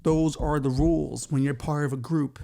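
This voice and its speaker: Guy w a gravelly, kinda warm voice doing crude comedy bits about the news, swears a lot. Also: on the high side, steady tone, moderate pace. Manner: crude, mocking, swears freely; reads news aloud with stumbles and asides. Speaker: podcast host. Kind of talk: comic riffing on news stories.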